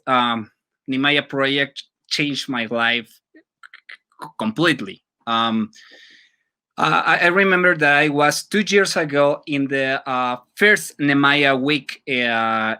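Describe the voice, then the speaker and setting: A middle-aged Mexican man, a Spanish native speaker, answering interview questions about his music school in halting English full of restarts and fillers. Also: mid-range voice, pushed and bright, clear, resonant tone. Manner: halting, many repeated words and fillers. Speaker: guest, music school owner. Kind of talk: live podcast interview.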